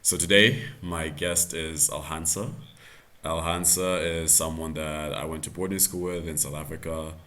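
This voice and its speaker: A man's voice: rumbling low, fairly even intonation, moderate pace, pushed and bright. A young man hosting a podcast, talking casually and filling his speech with likes and you knows. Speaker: podcast host. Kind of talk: casual interview talk.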